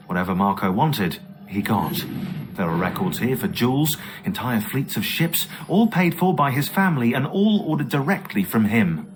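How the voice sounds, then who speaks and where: A man's voice: mid-range voice, close to an everyday voice. A man voicing a wry, gossipy historian in a video game, narrating in a conversational, sardonic storytelling manner. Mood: dry, informative. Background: music.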